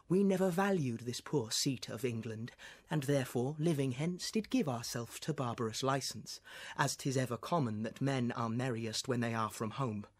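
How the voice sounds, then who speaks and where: A man's voice: mid-range voice, lightly acted. A young man performing a Shakespeare speech as a proud young king, declaiming in a lofty, defiant way with rising emphasis. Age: young adult. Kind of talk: Shakespeare monologue.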